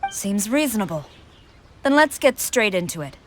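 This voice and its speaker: A woman's voice: medium pitch, big pitch swings, normal speed, slight background noise, lightly acted. Woman playing a stern, formal female general. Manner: formal, direct, businesslike. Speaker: general and negotiator. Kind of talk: game cutscene voice acting.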